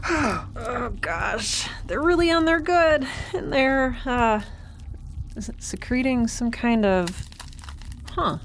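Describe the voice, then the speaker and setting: An actress playing a flustered but caring woman improvising as medic, halting yet taking charge, in an audio drama. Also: on the high side, lively intonation, slight background noise. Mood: tense, focused. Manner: hesitant, halting, takes charge. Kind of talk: naturalistic audio drama acting.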